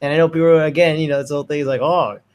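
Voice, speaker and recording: A man's voice: on the high side, steady tone, rapid-fire, noisy background. A man chatting casually as a podcast panelist, informal and freely swearing. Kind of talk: casual chat.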